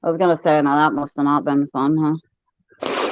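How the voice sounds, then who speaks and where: A woman's voice: deep voice, fairly even intonation, fairly quick, dry recording. Sniffly woman hosting a lo-fi call. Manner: laughs often, says "y'all" and "hella". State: sniffly, getting over an illness. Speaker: stream host. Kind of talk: casual chat. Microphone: video call. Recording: muffled lo-fi call audio.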